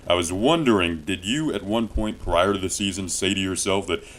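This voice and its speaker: A man with a low voice hosts an interview, asking his questions in a measured, thoughtful way. His tone is bright and forceful. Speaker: interview host.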